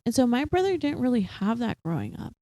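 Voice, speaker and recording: A young woman co-hosting a casual chat podcast, animated and quick to laugh, full of fillers. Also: fairly high pitch, dry recording. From Philippines, raised partly in Singapore. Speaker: podcast co-host.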